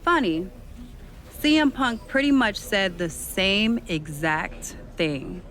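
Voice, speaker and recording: A woman's voice: mid-range voice, sing-song, takes it slowly, fairly bright tone. A woman playing a brash, cocky pro wrestler spoiling for a fight in a video game cutscene. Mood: amused, dismissive. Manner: cocky, swaggering.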